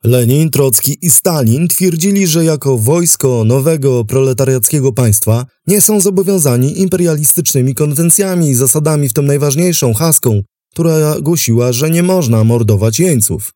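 Male history narrator, mellow, scripted documentary read, close dry sound. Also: a little high, animated, normal speed, hardly any pauses, no echo. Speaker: narrator and host of a history programme.

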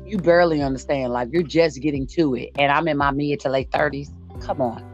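A woman's voice: sits low, a little fast. A woman in her late forties hosting a casual conversation, blunt and emphatic, repeating her points for emphasis. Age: late 40s. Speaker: podcast host. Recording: dull lo-fi audio, music behind.

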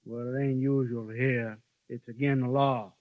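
An actor playing a folksy, controlling frontier sheriff in a 1950s radio western, laconic and authoritative, with an American western accent. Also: male voice, medium pitch, fairly even intonation, measured pace, no room sound, natural voice. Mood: wary, authoritative. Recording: muffled, dry vintage radio broadcast.

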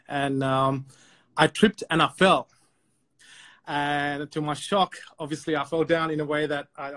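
A man's voice: a little high, fairly bright tone. A man in his thirties, born in Sri Lanka, telling his story as a podcast guest over a video call, chatty and often repeating words. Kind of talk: casual storytelling.

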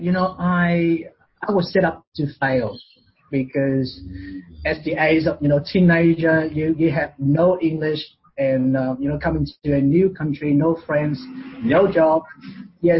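A man in his forties with a Vietnamese accent, chatting as a guest in a hesitant way full of fillers. His voice is husky and sits a little high. The recording sounds dull and lo-fi. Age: 40s. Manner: hesitant, lots of fillers and restarts. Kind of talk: casual interview.

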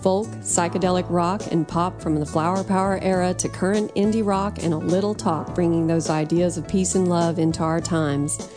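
A woman's voice: on the low side, fairly even intonation, normal speed, over music. A woman reading a promo spot for her community radio show. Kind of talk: station promo.

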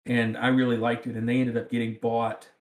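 A man's voice: medium pitch, little pitch movement, a little fast. A man with a rural Southern American accent, chatting casually. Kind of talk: casual chat. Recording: roomy, echoing sound.

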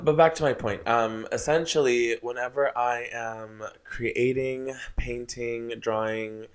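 A young man with a somewhat low voice hosts a casual solo podcast late at night. Spanish is his first language, and he rambles through spiritual musings in a tired way. He trips over his words from time to time. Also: smooth. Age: young adult. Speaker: podcast host.

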